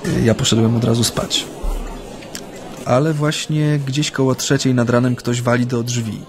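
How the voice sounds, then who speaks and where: An actor in a naturalistic radio drama playing a proud, homesick exiled Japanese activist, speaking hesitantly and reflectively with humming pauses. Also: male voice, medium pitch, little pitch movement, crowd noise, close to an everyday voice. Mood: reflective, reminiscing. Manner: hesitant, reflective, pausing with hums.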